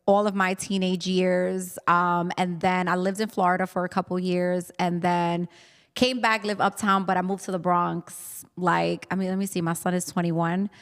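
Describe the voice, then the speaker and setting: A woman's voice: fairly low pitch, little pitch movement, moderate pace, clear, resonant tone. Brief: New woman, forties, candid interview guest, laughs a lot, long reverb on the voice. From New York City. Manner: laughs often, repeats words while searching. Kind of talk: candid conversational storytelling.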